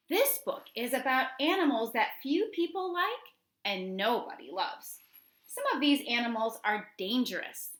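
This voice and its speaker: A woman teacher reading children's nonfiction aloud, addressing young listeners directly with deliberate, instructional phrasing. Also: on the high side, bright, forceful tone, echoey room.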